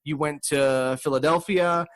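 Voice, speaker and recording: A man's voice: fairly high pitch, steady tone, measured pace, a bit bright, no echo, studio quality. A man hosting a casual comedy podcast, talking in a goofy, self-deprecating way. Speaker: podcast host.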